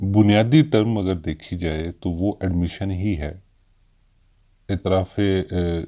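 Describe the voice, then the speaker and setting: A man with a Pakistani accent giving an informal explanatory law lesson, hesitant with frequent drawn-out fillers and mixing in English terms. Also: low voice, mellow. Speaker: law lecturer.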